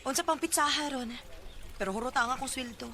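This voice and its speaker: A woman's voice: sits high, fast, natural voice. A woman acting a worried, hesitant young working daughter in a Filipino radio drama. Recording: noisy radio drama recording.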